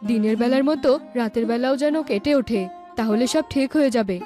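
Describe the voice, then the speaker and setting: A woman's voice: a little high, brisk, dark tone, natural voice. A woman voicing a sensible, worried village mother in a cartoon folk tale. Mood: admonishing. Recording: music behind the voice.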